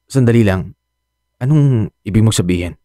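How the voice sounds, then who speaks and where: A man's voice: lowish voice, animated, moderate pace, mellow, no echo, studio quality, lightly acted. A man with a Filipino accent playing an anxious young expectant father in a radio drama. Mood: worried. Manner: sighing, hesitant, earnest.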